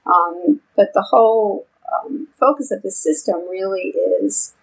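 Older woman, New York accent, husky voice, measured interview answers. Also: mid-range voice, animated. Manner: measured, frequent ums, explanatory. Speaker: vestibular researcher and therapist. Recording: dull lo-fi audio.

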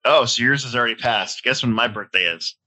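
A man's voice: medium pitch, fairly quick, bright, forceful tone, low-quality recording. A man in his forties hosting a casual hobby podcast from home, a bit stuffy-nosed, talking in a loose, rambling way. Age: 40s. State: stuffy nose. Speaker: podcast host. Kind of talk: casual chat.

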